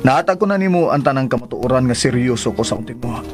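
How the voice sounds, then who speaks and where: An actor playing an earnest, solemn middle-aged suitor in a Philippine radio drama, speaking with a Cebuano Filipino accent. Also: male voice, mid-range voice, lively intonation, very fast, natural voice. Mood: solemn, devoted. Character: earnest, strict-looking middle-aged suitor proposing marriage. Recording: music bed behind radio drama.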